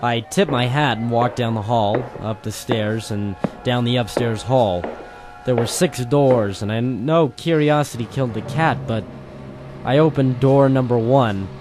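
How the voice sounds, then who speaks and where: A hardboiled private eye played by a man tells his case in a wry, dramatic voice of middling pitch. The delivery is old-time noir radio storytelling, with music playing underneath. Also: measured pace, close to an everyday voice. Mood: wry, watchful.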